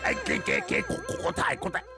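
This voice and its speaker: A man's voice: quick pace, natural voice. A man voicing a pompous, cowardly village police inspector in a cartoon, stammering and repeating words when flustered. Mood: flustered, servile. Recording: loud background music.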